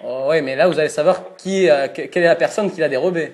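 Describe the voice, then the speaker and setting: A man with a French accent making a prank phone call, mock-formal and deadpan, fighting back laughter. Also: fairly high pitch, quick pace, crowd noise. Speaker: prank caller.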